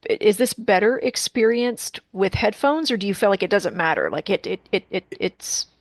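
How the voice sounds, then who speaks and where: A woman's voice: medium pitch, expressive, normal speed, dry recording. A woman with a mid-range voice conducts an informal interview. She comes across as eager and appreciative. Her voice is recorded dry, with hardly any room sound. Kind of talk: casual interview chat.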